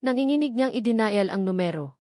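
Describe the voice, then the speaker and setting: A woman reading a scripted story as a narrator, in a measured, dramatic way with emphatic phrasing. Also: a little high, animated, warm tone, dry recording, clean studio sound.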